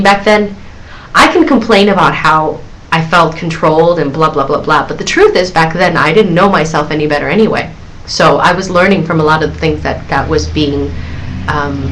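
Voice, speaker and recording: A woman's voice: deep voice, fairly bright tone, rough recording. A woman in her thirties hosting her own live question-and-answer stream, chatting loosely with lots of giggles, fillers and restarts.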